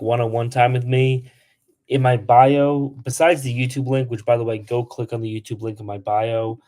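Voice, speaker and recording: Young male livestream host, casual, filler-heavy, mellow tone. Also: mid-range voice. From Polish. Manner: chatty, lots of "like", blunt toward trolls. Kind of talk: casual livestream Q&A, reading comments.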